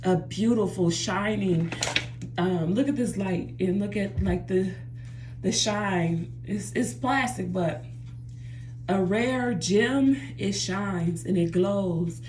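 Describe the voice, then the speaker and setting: A woman's voice: mid-range voice, takes it slowly, darkish tone, echoey room, a little hiss or hum. A woman talking earnestly to her viewers in a personal vlog from her bathroom, often repeating herself and calling them "y'all". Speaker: vlogger. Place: home bathroom by a running jacuzzi.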